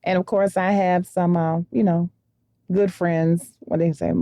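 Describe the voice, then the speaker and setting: Woman from Connecticut, podcast guest, low mellow voice, dry studio sound, easygoing. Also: flat delivery, no room sound. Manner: easygoing, agreeable, speaks with pauses and fillers. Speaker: guest, mushroom farm owner. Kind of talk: casual interview answers.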